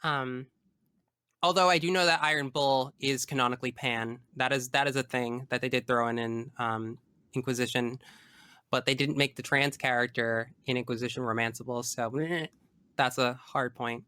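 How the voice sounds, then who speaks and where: A man's voice: mid-range voice, steady tone, normal speed, bright, forceful tone, clear, resonant tone, no echo, studio quality. A man talking casually as a podcast guest about video games, opinionated and emphatic, often wandering off into asides.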